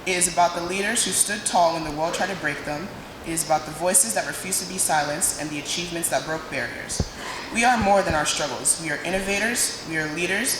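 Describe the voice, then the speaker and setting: A young woman from Nigeria, giving a prepared student speech in a dramatic, emphatic way with pauses. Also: fairly low pitch. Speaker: student speaker. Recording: echoey hall, noisy room sound.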